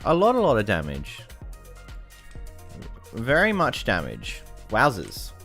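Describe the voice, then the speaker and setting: A man's voice: on the low side, wildly swooping pitch, takes it slowly, gravelly, fairly bright tone, soft background music. A young Australian man casually commenting on a video game, chatty and self-mocking, tripping over words as he reads. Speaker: gaming YouTuber.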